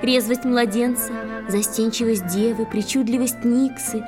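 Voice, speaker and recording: A woman's voice: fairly high pitch, steady tone, a little slow. A woman narrating a poetic tale for an audio play in a lyrical storytelling manner. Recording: music under the voice. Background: instrumental music.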